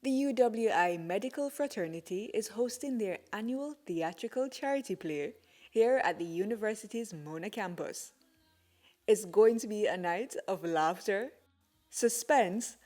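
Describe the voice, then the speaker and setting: Slow, measured woman reporter. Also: mid-range voice, darkish tone. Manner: measured and promotional. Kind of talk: scripted news narration.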